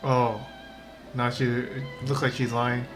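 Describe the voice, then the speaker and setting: A woman streamer giving casual, halting reactions as she comments on a game. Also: a bass voice, flat delivery, over music. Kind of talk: casual live gameplay commentary.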